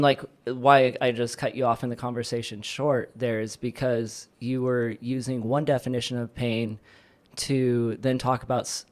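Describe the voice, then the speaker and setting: A man's voice: medium pitch, a little mellow. A man talking as a podcast guest, earnest and searching for the right words. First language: English. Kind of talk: casual chat.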